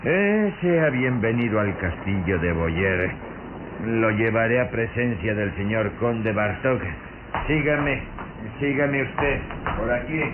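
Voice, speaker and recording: A man's voice: medium pitch, expressive. A man playing a sinister, cryptic servant of a vampire count in a radio drama, formal and ominous in his delivery. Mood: menacing, formal. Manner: ominous, deliberate, formal, with menacing chuckles. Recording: muffled, noisy old radio drama recording.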